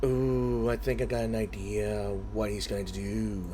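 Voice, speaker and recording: A man's voice: fairly low pitch, level tone, a little slow, dark tone, slight background noise. A man giving casual, reactive let's play commentary, often muttering to himself as he plays. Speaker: gaming streamer.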